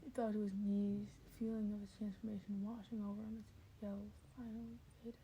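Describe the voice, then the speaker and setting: A woman reads a story aloud in a very dark, soft-edged voice at a middle pitch. It is amateur narration with small stumbles and repeated phrases, recorded with faint background noise. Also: flat delivery, fairly quick, lo-fi sound. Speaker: podfic reader. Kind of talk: amateur fan fiction audiobook narration.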